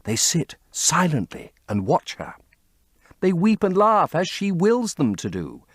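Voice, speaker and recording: A man voicing a rapturous, lovestruck young gentleman in an audiobook, gushing and emphatic. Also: a little high, wildly swooping pitch, unhurried, slightly rough, no echo, studio quality, pitched above the performer's own voice, full character voice. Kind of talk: audiobook character acting.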